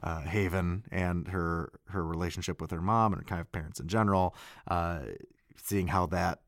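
A man with a deep, low voice chats casually as a guest. He is enthusiastic but halting, often repeating words, and the sound is close and dry. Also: fairly even intonation, no echo. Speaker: voice actor, guest. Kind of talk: casual recap chat.

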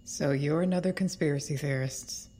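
Husky, low, wary woman. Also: normal speed, mellow. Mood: skeptical, pressing. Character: skeptical, principled woman, wary and analytical. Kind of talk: audio drama acting.

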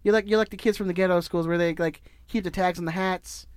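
A high-pitched man co-hosting a podcast, rambling through a sponsor read with loose, self-correcting jokes. The sound is close and dry, with no room around it. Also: steady tone, fast, clean tone, dry recording. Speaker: podcast co-host. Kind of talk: casual podcast chat, ad read.

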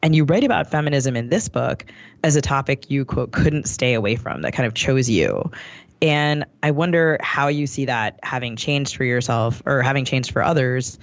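A man's voice: mid-range voice, animated, moderate pace, no room sound. Male interviewer, dull dry recording. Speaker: podcast co-host, interviewer. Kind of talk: prepared interview question.

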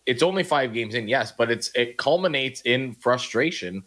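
A man's voice: medium pitch, expressive, moderate pace, a bit bright. A man co-hosting a sports radio show, talking casually and restarting his sentences often as he argues his points.

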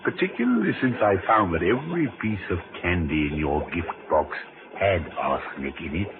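An actor playing a grave, methodical country doctor, explaining things in a measured, hesitant way in an old radio drama. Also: male voice, low-pitched, big pitch swings, music in the background. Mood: grave, deliberate. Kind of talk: 1950s radio drama acting. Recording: muffled, tinny old radio recording.

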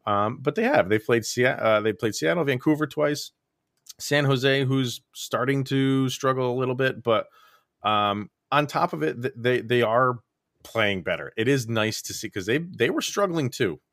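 A man guesting on a sports podcast, chatting in a lively way and laughing easily. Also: mid-range voice, moderate pace, no echo, clean studio sound. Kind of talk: casual sports chat.

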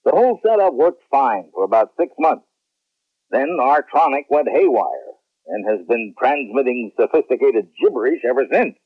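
An actor playing an authoritative general who runs a space agency, giving measured official briefings in a 1970s radio drama. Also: male voice, medium pitch, very animated, breathy edge, no echo. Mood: firm. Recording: tinny, dry old radio broadcast.

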